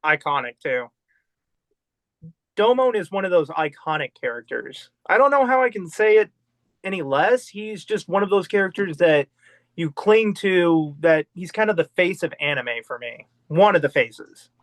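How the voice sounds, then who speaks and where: A man hosts an interview and asks questions with eager fan excitement. His voice sits fairly high for a man. The sound is dry and close, with no room echo. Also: normal speed. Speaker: channel host.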